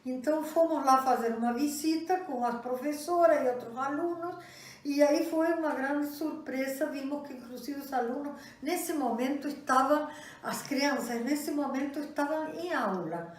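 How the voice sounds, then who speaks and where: An older woman from Argentina, a professor speaking Portuguese with an Argentine Spanish accent, giving a talk with hesitant pauses and many fillers. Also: high-pitched. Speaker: guest speaker, university professor. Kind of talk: event talk. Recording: echoey room, distant mic.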